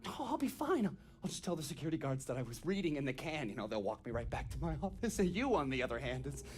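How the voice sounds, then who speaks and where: An actor playing an eager, nervous tech geek in a video game, chatty and stammering. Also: male voice, a little high, big pitch swings, quick pace, noisy background. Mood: proud, nervous. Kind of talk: video game cutscene acting.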